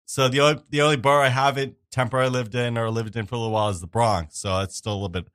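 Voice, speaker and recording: A man hosts an interview, talking fast and chiming in with easy agreement. His voice sits at an ordinary middle pitch. The sound is dry and close, with no room echo. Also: fairly even intonation, fairly bright tone. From New York. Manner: quick, agreeable, encouraging. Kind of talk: casual interview conversation.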